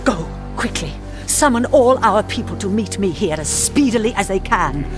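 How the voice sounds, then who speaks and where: A woman's voice: mid-range voice, lively intonation, normal speed, music in the background, natural voice. An actress playing a cruel, imperious witch queen in a radio drama, declaiming her orders coldly. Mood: commanding fury.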